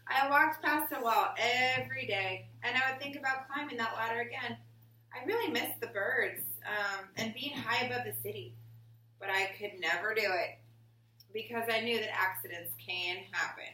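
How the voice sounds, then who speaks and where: A woman teacher reading a picture book aloud to her class in a deliberate storytelling way and prompting pupils with questions. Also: on the high side, bright, forceful tone. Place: indoor classroom. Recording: roomy classroom, distant mic, faint noise.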